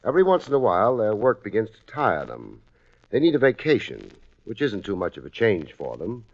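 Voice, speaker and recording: A man acting a wry, unflappable private detective with deadpan wit in a 1950s radio drama. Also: lowish voice, a little fast, vocal fry, full character voice. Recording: dull old radio recording.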